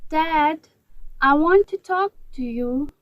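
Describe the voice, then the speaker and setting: An earnest, caring schoolboy of fifteen in a children's story, acted by a woman. The voice is high and unhurried to the point of being slow, with a dry sound. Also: expressive, dry recording, rough recording. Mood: earnest, concerned.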